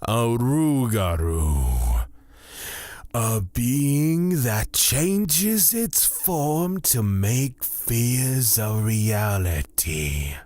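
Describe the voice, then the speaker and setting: An actor playing a calm, menacing god of fear, speaking deliberately and formally in a husky, breathy voice in a fantasy audio drama. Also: male voice, medium pitch. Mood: calm, ominous. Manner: deliberate, formal, drawn-out phrasing.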